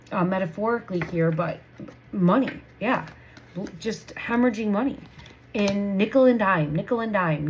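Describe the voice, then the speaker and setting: A woman's voice: mid-range voice, darkish tone, a little hiss or hum, poor audio. A woman recovering from a head cold, giving a casual spoken tarot reading. She thinks aloud as she goes, with restarts and repeated phrases. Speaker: tarot reader.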